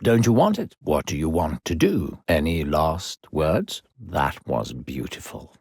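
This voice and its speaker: Creepy smooth-talking invisible spirit guide for a kids adventure game, low n husky, takes his time, fake polite, really swoopy delivery. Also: very animated, a little mellow, no room sound, clean studio sound, full character voice. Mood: urgent, scheming. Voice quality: gravelly, husky. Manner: coaxing, falsely polite, condescending, drawn-out phrasing. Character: unseen smooth-talking sinister narrator spirit guiding a child. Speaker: game narrator and guide character. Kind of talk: theatrical video game character acting.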